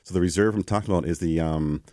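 A Canadian man, a guest in an interview, telling stories in a conversational way and acting out quoted exchanges with lively energy. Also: low voice, quick pace, no echo, clean studio sound. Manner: animated, acts out quoted exchanges.

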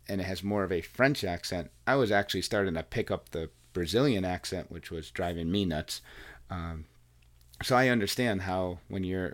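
A man hosting a podcast, chatting with his wife in an earnest, rambling way full of restarts. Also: low-pitched. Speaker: podcast host and coach. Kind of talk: casual chat. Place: home recording room with wife. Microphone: plugged into recording software.

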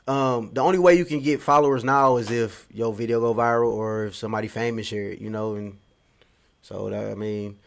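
A man in his early thirties talks quickly as an interview guest. His speech is relaxed and rambling, full of repeated phrases. He sounds laid-back in a casual conversation. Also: fairly low pitch, gravelly. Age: 30s. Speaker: guest, comedian.

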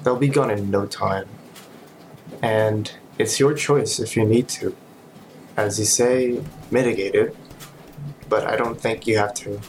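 A man playing an easygoing, reassuring colleague in an audio drama, with a casual, understated, gently playful way of talking. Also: on the low side, steady tone, a little slow, pauses often, slight background noise. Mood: encouraging. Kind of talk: audio drama acting, naturalistic dialogue.

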